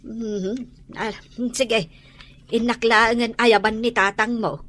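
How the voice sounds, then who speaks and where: A woman acting a caring mother who gently questions her grown son in a radio drama. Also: fairly high pitch, fairly even intonation, slow pace. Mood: concerned.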